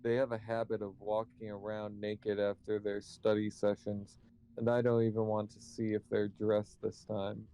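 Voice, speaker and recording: Low, creaky-voiced man reading aloud. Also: monotone, mellow, slight background noise, rough recording. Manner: halting reading with slips and self-corrections. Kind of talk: reading visual novel narration aloud.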